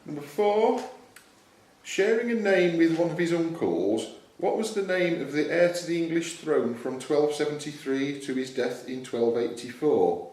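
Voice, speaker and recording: Higher-voiced man reading quiz questions, roomy echo. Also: unhurried, a little mellow. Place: club room at a live quiz tournament. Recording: roomy echoey hall, distant mic, faint noise.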